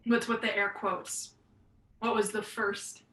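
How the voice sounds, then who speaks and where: Distant and roomy, an actress plays an exasperated adult daughter with a bright, sharp voice. She is terse, wary and insistent, a bewildered woman in a one-act play. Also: medium pitch, flat delivery, slow pace. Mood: dry, terse.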